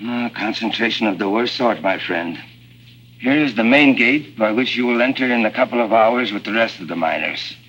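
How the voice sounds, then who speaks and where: An actor playing an earnest, cautious miner who runs an underground operation, formal and measured, in an early 1950s spy drama. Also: male voice, on the low side, a bit bright. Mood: grave. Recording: noisy vintage 1950s soundtrack.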